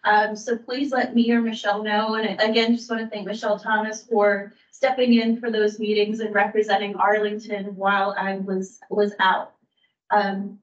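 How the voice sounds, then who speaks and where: A woman who works for a county aging services bureau, giving a staff update at a public meeting in a measured way, with frequent ums and restarts. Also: medium pitch. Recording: distant roomy mic, dull sound.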